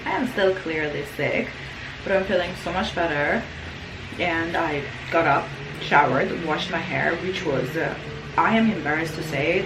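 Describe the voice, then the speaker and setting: A young woman with a flu-roughened, raspy voice, sitting a little low in pitch. She chats to her vlog audience in a tired, rambling way and repeats words as she goes. The room is echoey, and a steady noise sits behind her. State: sick with flu, hoarse throat. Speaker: lifestyle vlogger. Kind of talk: casual vlog chat to camera. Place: indoors at home.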